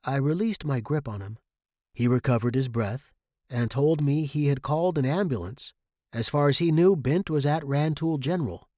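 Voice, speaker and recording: A man's voice: medium pitch, moderate pace, mellow, no echo, clean studio sound, close to an everyday voice. A man playing an earnest, self-assured saxophonist in a theatrical, emphatic first-person story monologue. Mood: tense recounting. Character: earnest, self-assured male saxophonist recalling his mentor. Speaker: story narrator.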